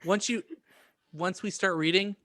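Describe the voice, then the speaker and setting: A man's voice: high voice, steady tone, a little slow, a bit bright, dry recording. A man co-hosting a comedy podcast in casual, bantering chat. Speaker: podcast host.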